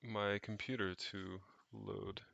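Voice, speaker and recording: A man's voice: fairly low pitch, level tone, takes it slowly, creaky, fairly bright tone, no room sound, dull audio. A man chatting off the cuff on a livestream, rambling and thinking aloud with casual swearing as he tinkers. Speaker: streamer, tech hobbyist. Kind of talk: casual unscripted livestream chat while tinkering. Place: indoors, rented home.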